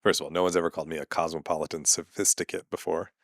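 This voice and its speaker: A man's voice: sits low, flat delivery, rapid-fire, dry recording, studio quality. A man, a comedian and podcast host ranting sarcastically and with exasperation in a casual monologue.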